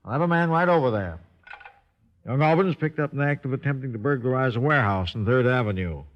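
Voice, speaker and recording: An actor playing a composed, clipped and matter-of-fact police superior in an old-time radio drama. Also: male voice, mid-range voice, animated, fast, close to an everyday voice. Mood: businesslike. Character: businesslike male police superior, fair and composed. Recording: dull, lo-fi old radio recording.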